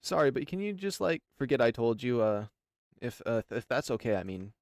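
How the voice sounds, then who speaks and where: A man voicing a shy, nervous, gentle young animal character in a visual novel, speaking in a hesitant, apologetic, soft-spoken way. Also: mid-range voice, very animated, fairly quick, no room sound, clean studio sound. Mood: sheepish, apologetic.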